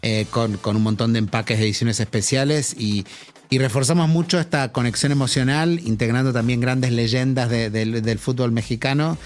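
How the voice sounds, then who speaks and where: A man's voice: mid-range voice, fairly even intonation, normal speed, faint music behind. A middle-aged man from Argentina with an Argentine accent, a marketing executive answering a podcast interview in a thoughtful way, with many fillers and restarts.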